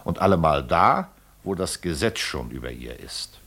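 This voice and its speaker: A worldly, commanding legal official in a radio play. His voice sits fairly low but swings widely in pitch, with impatient authority. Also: very animated, moderate pace, a bit bright, husky, exaggerated voice. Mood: grave, superior. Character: shrewd, commanding male legal official investigating a crime. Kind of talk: German radio drama acting.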